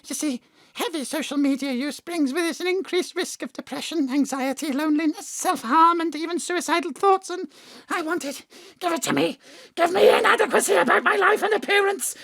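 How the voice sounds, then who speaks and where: Manic sickly small boy, piercingly high, demanding, satirical radio play. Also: brisk, gravelly, fairly bright tone, no echo, clean studio sound, heavily acted. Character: demanding, manic sickly little boy hooked on social media. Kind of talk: satirical audio drama acting.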